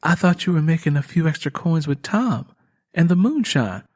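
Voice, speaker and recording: A man voicing a devout, worried young pregnant country woman who gently scolds in folksy speech, for an audiobook. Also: on the high side, lively intonation, moderate pace, pitched above the performer's own voice. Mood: questioning. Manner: folksy rural vernacular. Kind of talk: audiobook character dialogue.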